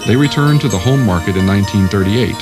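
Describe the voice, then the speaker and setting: A man narrates a documentary from a script. His voice sits on the low side, with music playing clearly behind him. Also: animated, fast. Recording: music under the voice.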